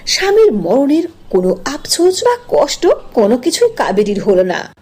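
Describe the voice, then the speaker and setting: A woman's voice: on the high side, wildly swooping pitch, moderate pace, darkish tone, breathy edge. A woman narrating a scripted cartoon story as its storyteller.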